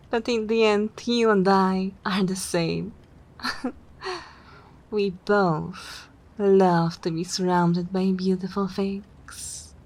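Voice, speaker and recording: A woman's voice: mid-range voice, darkish tone, natural voice. A woman playing a haughty, seductive vampire mistress in an ASMR roleplay, teasing and self-assured in a theatrical way.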